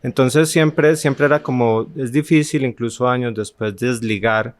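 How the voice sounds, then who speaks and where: A man's voice: mid-range voice, a little slow, no room sound. A man in his forties from Armenia, Colombia, reminiscing as a podcast guest with frequent hesitations and restarts. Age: 40s. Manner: hesitant, many restarts and fillers. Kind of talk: conversational interview, reminiscing.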